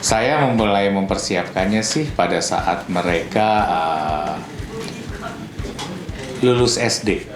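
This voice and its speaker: A man's voice: sits low, animated, takes it slowly, pauses often, a crowd in the background. A man in his fifties giving casual, conversational answers in an interview. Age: 50s. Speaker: interviewee, father of two. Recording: echoey room with clear background noise.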